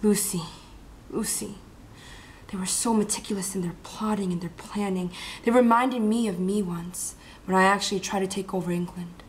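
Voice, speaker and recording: A woman's voice: medium pitch, fairly even intonation, moderate pace, slight background noise, natural voice, poor audio. A woman playing a scheming, power-hungry male vampire count in an amateur dramatic monologue. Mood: bitter, brooding.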